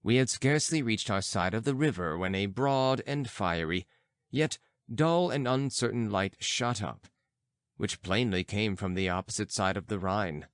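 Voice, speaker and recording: Expressive man narrating an audiobook, dry sound. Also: fairly low pitch, measured pace, clear, resonant tone, no echo. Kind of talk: scripted literary narration with acted dialogue.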